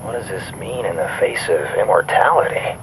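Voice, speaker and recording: An actor playing a scholarly warlock recording a journal in a video game, musing in a pedantic, formal way. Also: male voice, on the low side, lively intonation, normal speed, background noise, natural voice.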